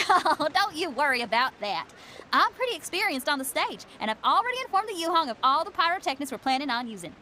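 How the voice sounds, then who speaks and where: A woman's voice: sits high, big pitch swings, fast, sharp tone, slight background noise, heavily acted. A woman playing a laid-back, confident young female rock musician in a video game, casual in manner. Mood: confident, easygoing.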